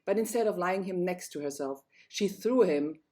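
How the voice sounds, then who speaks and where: A woman's voice: lowish voice, animated, normal speed, warm tone. A woman storyteller narrating a fairy tale, reading it aloud.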